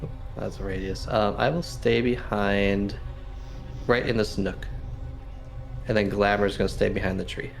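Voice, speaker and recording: A man's voice: fairly low pitch, steady tone, normal speed, creaky, pauses often, faint music behind. A man playing a tabletop roleplaying game, casually planning tactics with the group.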